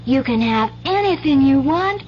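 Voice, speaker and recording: A woman's voice: high-pitched, lively intonation, normal speed, a little hiss or hum. An actress playing an earnest, dreamy little girl in a 1970s radio drama, folksy and full of childlike wonder. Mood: wonderstruck, dreamy. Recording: muffled 1970s radio recording, music behind.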